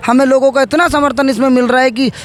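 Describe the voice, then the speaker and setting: A man answering interview questions as a politician, halting and repeating phrases as he goes. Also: very high voice, steady tone. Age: 30s.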